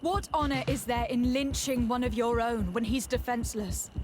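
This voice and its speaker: Actress doing a young noble lady diplomat in a video game, bit high, articulate, earnest, principled, music behind her. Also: fairly even intonation, natural voice. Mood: earnest, resolute. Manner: measured, articulate, formal.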